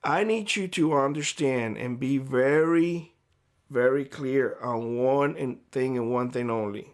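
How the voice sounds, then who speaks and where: A man speaking straight to camera in a vlog, giving blunt, lecturing advice in a hoarse voice. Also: mid-range voice, unhurried. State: hoarse, losing his voice. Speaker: prepper YouTuber. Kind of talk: direct-to-camera vlog monologue. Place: homestead.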